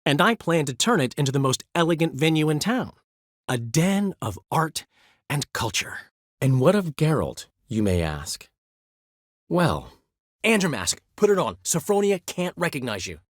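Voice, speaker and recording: Showy chatty bard, theatrical and witty, swooping animated voice, dry close sound. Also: male voice, a little high, moderate pace, no room sound. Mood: teasing. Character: flamboyant, chatty middle-aged male bard and poet. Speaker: game character. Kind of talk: video game dialogue.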